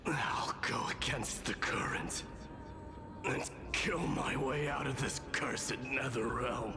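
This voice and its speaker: Game role: grim immortal warlord, played by a man, solemn, very slow and deliberate, music behind. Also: medium pitch, lightly acted. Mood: grim, resolute. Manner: slow, halting, solemn delivery. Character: grim undying warrior lord, stubborn and battle-worn. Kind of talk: dramatic video game voice acting.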